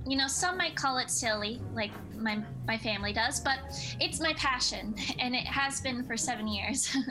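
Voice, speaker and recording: A woman's voice: fairly high pitch, moderate pace, music in the background, natural voice, poor audio. An actress playing a polite, flustered young woman on a dinner date in a comedy radio play, giggly and hesitant. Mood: earnest.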